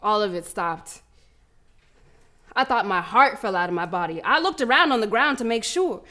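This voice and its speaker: A woman's voice: medium pitch, expressive, moderate pace, sharp tone. A woman playing a fierce, proud young girl runner, telling her story as a brash, streetwise dramatic monologue. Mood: tender, hurt. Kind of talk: dramatic first-person monologue.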